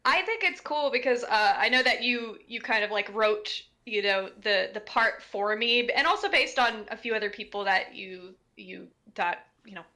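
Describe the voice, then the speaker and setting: A woman's voice: fairly high pitch, pushed and bright. A woman voice actor chatting in a group commentary, reflective, feeling out her phrases as she goes. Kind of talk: casual group commentary discussion.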